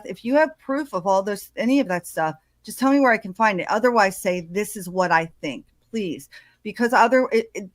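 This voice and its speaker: A woman's voice: medium pitch, no room sound. A woman doing casual true crime commentary in a hesitant way, with many ums and uhs.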